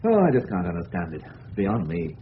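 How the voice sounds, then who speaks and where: A man's voice: low-pitched, very animated, brisk. An actor playing a chummy, opinionated, old-fashioned middle-aged English father in a 1930s radio drama. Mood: indignant, chatty. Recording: noisy tinny old radio recording.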